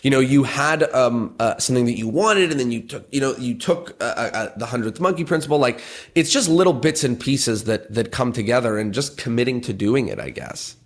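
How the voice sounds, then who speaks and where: A man's voice: medium pitch, expressive, fairly quick. A man hosting a magic podcast, asking long, winding questions packed with "you know" and restarts. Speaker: podcast host.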